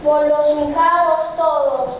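A woman declaims poetry in a high voice, slowly and solemnly, stretching her phrases. The sound is heavily echoing and far from the microphone. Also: steady tone. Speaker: recitation contestant. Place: large echoing room. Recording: very echoey hall, distant mic, faint noise.

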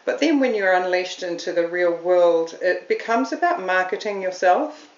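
A woman's voice: fairly low pitch, lively intonation, moderate pace. A middle-aged woman with a New Zealand accent, hosting a podcast in a chatty, self-deprecating way and laughing often. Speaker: podcast host. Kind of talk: casual solo talk to camera. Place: room at home. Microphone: camera on a stand. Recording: roomy, slightly dull home recording.

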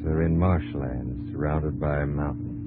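An actor playing an earnest, driven army captain and explorer in a 1950s radio drama. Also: male voice, very deep voice, fairly even intonation. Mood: brooding, reflective. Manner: measured diary narration alternating with urgent dialogue. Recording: muffled, narrow-band 1950s radio recording with music.

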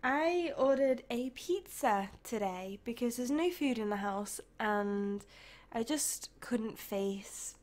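Young UK woman YouTuber, croaky and tired, easygoing rambling chat, a touch high-pitched. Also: animated, takes it slowly. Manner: rambling, chatty, with soft laughs. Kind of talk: casual voice-over chat over a game build.

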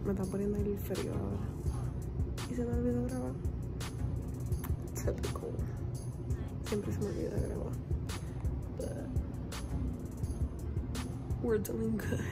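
A young woman in her twenties chatting casually to a camera held at arm's length, slipping English phrases into her talk. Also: medium pitch. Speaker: vlogger. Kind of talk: casual vlog chat. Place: bedroom, restaurant and car.

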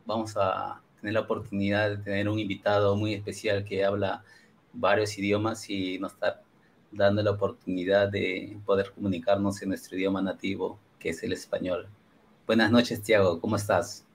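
A man with a fairly low voice leads a casual interview over a video call. He asks questions in a friendly, unhurried way. His headset mic picks up a faint hiss of room noise. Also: little pitch movement. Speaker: podcast host. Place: home room with purple lighting.

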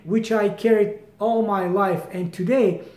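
A Bulgarian-accented man in his fifties talks to camera with a fairly high voice, earnest and often restarting his phrases as he gestures broadly. The sound is roomy, picked up by a camera at desk distance in a home hallway. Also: steady tone, a little slow, a little mellow. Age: 50s. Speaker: brand founder. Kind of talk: direct-to-camera promotional talk. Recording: echoey room.

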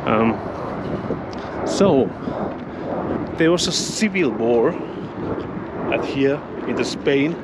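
Slow-talking Finnish man, windy outdoor mic. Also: mid-range voice, lively intonation. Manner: halting, searches for English words. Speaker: urban explorer. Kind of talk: casual vlog narration while exploring. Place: outdoors at an abandoned mansion. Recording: loud wind noise on the mic.

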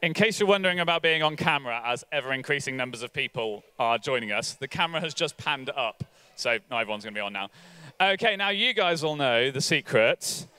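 A man in his forties giving an energetic all-age church talk on a hall stage, coaxing volunteers into a game. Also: medium pitch, brisk, smooth. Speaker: church leader. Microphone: handheld mic.

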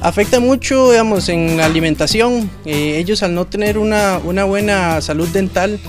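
A man's voice: sits high, normal speed, over music. A young man, an equine vet, patiently explaining in an interview. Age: young adult. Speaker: equine veterinarian.

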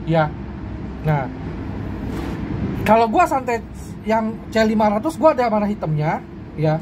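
A woman's voice: mid-range voice, unhurried, background noise. A woman casually demonstrating flashlights on a table, talking to her viewers like friends. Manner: relaxed Jakarta slang, addresses viewers as friends. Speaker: product reviewer. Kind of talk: casual hands-on product demo.

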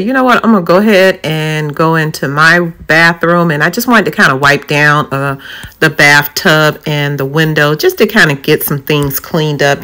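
A woman's voice: sits low, fairly bright tone. A woman, narrating her home renovation in a chatty, encouraging way and giving how-to tips. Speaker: DIY home vlogger. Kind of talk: casual voice-over narration.

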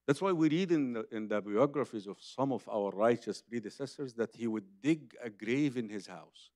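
A man's voice: mid-range voice, darkish tone, no echo, clean studio sound. Older Egyptian man preaching. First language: Arabic. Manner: conversational, emphatic, repeats phrases, addresses audience directly. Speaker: Islamic preacher. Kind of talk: conference religious lecture. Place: conference hall stage, seated at table. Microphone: microphone on a boom stand.

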